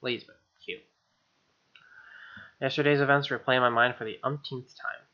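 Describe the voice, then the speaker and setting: A man's voice: medium pitch, steady tone, moderate pace, sharp tone, highs cut off. A man reading a visual novel aloud as a gamer, mixing narration with informal, slangy asides. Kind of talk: dramatic reading with casual asides.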